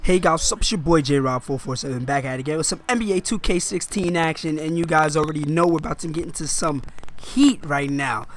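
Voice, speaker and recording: A young man with a slightly rough, sick voice, giving chatty, hyped commentary over his basketball video game. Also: fairly high pitch, brisk, a little hiss or hum. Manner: hyped, chatty, clears throat often. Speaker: gaming YouTuber. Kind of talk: casual gameplay commentary.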